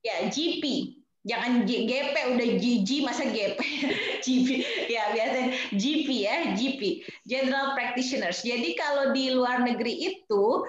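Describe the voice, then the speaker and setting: Chatty teacher with a very echoey sound. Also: female voice, fairly high pitch, measured pace, highs cut off. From Indonesia. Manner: chatty, explains vocabulary and quizzes students. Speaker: English teacher for nursing students. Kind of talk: online class lecture mixing two languages.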